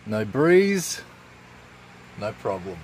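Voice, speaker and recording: Australian man, forties, lowish voice, laid-back, colloquial, casual vlog chat. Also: big pitch swings, drawn-out words, darkish tone, slight background noise. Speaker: vlogger. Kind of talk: casual, unscripted vlog talk.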